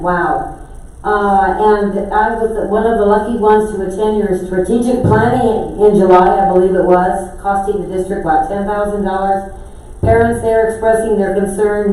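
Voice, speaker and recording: Lady with a warm low-ish voice talking at a board meeting, sarcastic, lots of uhs, super echoey room, far mic. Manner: conversational, sarcastic, many hesitations. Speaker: public commenter, community observer. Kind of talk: spontaneous public comment.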